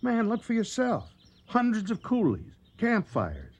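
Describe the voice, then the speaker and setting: An actor playing a stubborn, quick-tempered colonial railroad engineer in a radio drama, speaking in an agitated, insistent way. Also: male voice, high voice, huge pitch swings, muffled recording, exaggerated voice. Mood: angry, scornful.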